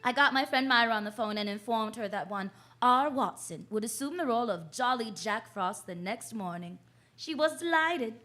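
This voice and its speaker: A woman's voice: fairly high pitch, pushed and bright. An actress playing a breezy, confident female private detective with a wry, flippant delivery in an old-style radio drama. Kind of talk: 1940s-style radio detective acting and narration.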